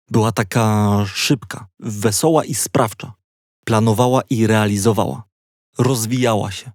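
A man narrates a children's story in a mid-pitched voice. He speaks conversationally, slipping in wry, playful asides to the listener. The recording is dry and free of room sound. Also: a little mellow, no echo. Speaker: audiobook narrator. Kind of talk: scripted narration of a children's audiobook.

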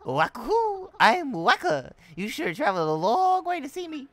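A woman's voice: high-pitched, huge pitch swings, normal speed, fairly bright tone, no echo, pitched above the performer's own voice, full character voice. A woman voicing a small, cheerful, playful game creature with a bouncy, exclamatory delivery. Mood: bubbly, eager.

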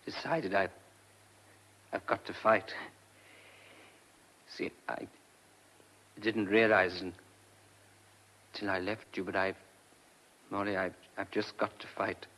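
An actor in a radio drama playing a weary, earnest gentleman in love, speaking haltingly in a husky voice. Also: lowish voice, fairly even intonation, low-quality recording. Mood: exhausted, resolute.

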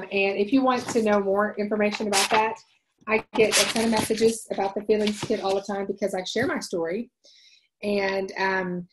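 Earnest forties woman host, loud voice, halting storytelling into a home webcam. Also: mid-range voice, low-quality recording. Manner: earnest, many ums and restarts, talks with her hands. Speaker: host of a wellness livestream. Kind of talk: casual personal storytelling. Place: home room, indoors. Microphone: webcam at desk.

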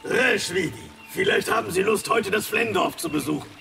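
A man's voice: sits high, huge pitch swings, moderate pace, creaky, sharp tone, slight background noise, full character voice. An actor playing a polite but patronising male alien host in a radio drama. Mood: courteous.

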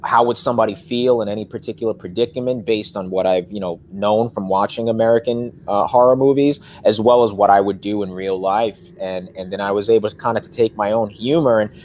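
A young man, an actor, answering interview questions on a video call from his living room couch, animated with lots of fillers. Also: fairly low pitch, a little fast, few pauses, slight background noise. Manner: animated, gestures a lot, frequent fillers. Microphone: webcam on video call.

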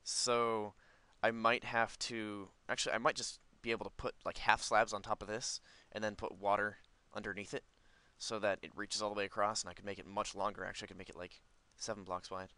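A man's voice: fairly low pitch, little pitch movement, a little fast, hardly any pauses, bright, forceful tone, no echo. A man giving casual, unscripted gameplay commentary, rambling as he thinks aloud. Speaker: gaming video host.

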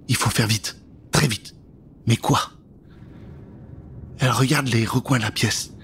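A man's voice: mid-range voice, slight background noise. A man in his thirties telling a children's story dramatically into a close studio microphone. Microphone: close studio microphone with pop filter.

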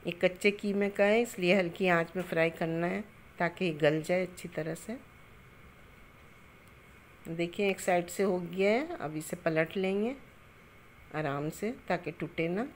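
Middle aged lady cooking at home, lowish voice, calm step by step recipe talk on a phone mic. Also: a little slow, long pauses, slight background noise. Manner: calm, homely instructions with blessings and greetings. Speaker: cooking channel host.

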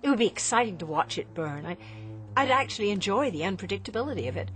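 An actress playing a restless, dissatisfied daughter in an audio drama, earnest and pointed. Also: female voice, medium pitch, lively intonation, fast talker, a bit bright, soft background music, close to an everyday voice. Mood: restless, pleading. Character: restless, dissatisfied grown daughter yearning for change.

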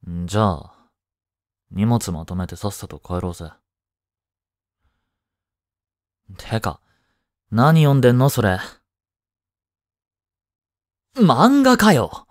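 A young man playing a blunt, teasing but caring studious high-school boy in an intimate audio drama, speaking casually. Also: no echo, clean studio sound. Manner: casual, curt, softly teasing. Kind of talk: intimate Japanese boyfriend-roleplay audio drama.

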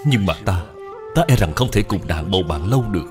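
Low-voiced, ailing gentle husband. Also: male voice, animated, quick pace. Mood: weary, resigned. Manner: tender, earnest, resigned. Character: ailing, gentle young husband, resigned and loving. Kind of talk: animated film dubbing. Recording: prominent music under the voice.

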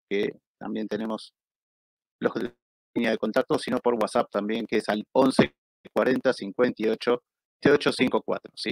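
A man's voice: medium pitch, no room sound, poor audio. A man in his forties with an Argentine accent, casually presenting a live cultural show as host. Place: indoors at a computer, live stream.

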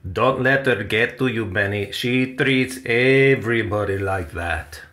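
A man voicing a kindly, patient theatre man in a children's story, speaking in a reassuring, unhurried manner. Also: mid-range voice, a bit bright, lightly acted. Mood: reassuring, explaining.

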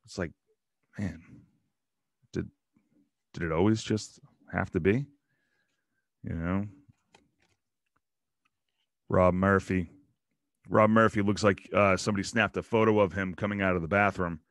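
A man in his thirties hosting a casual solo show, rambling and joking as he opens card packs in a small home room on a boom mic. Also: steady tone, measured pace, long pauses, a bit bright, no echo, studio quality. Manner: rambling, joking asides about players. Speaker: podcast host opening baseball card packs. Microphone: studio mic on boom arm, headphones.

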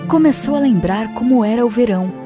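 A Brazilian woman narrating a scripted children's fable in an expressive storytelling style. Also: on the high side, fairly quick. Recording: music behind the voice.

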